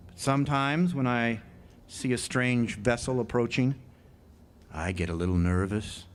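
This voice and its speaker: An actor playing a haunted, nervous lighthouse keeper in an old-time radio drama monologue, halting and repeating words between dramatic pauses. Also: male voice, mid-range voice, a little hiss or hum, close to an everyday voice. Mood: uneasy, evasive. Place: live amphitheater stage performance.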